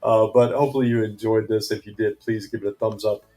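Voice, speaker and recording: A man hosting a casual comic book review vlog, rambling with many ums and uhs, his voice going hoarse and his mouth dry. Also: lowish voice, fairly even intonation, quick pace, mellow. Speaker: comic book channel host.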